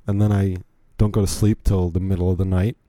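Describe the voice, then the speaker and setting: A man's voice: low-pitched, level tone, brisk, mellow, dry recording, studio quality. A young man from Virginia hosting a solo podcast, rambling casually with frequent restarts and self-deprecating asides. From Virginia, USA. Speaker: podcast host.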